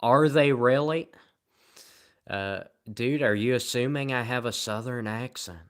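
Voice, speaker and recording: A tired man live streaming, rambling in a sarcastic, self-mocking way as he answers viewer comments at low energy. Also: a little slow.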